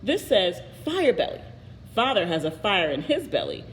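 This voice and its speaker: A woman's voice: mid-range voice, very animated, normal speed, big-room sound, slight background noise, lightly acted, poor audio. A woman voicing a worried young son in a storybook, earnest and eager to help. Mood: worried, wondering.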